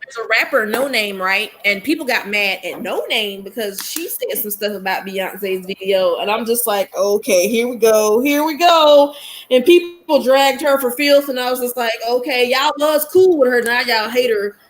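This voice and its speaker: A woman hosting a podcast chat, talking with lively, teasing energy. Her voice sits a little high. Faint noise hums in the background. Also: normal speed, rough recording. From US South. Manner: animated, teasing, exclamatory. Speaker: podcast host. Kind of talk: casual group chat.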